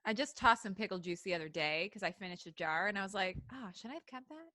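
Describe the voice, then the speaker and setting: A woman's voice: mid-range voice, animated, quick pace, noisy background. A woman co-hosting a casual live podcast, chatting with jokey, wry banter. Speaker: podcast co-host.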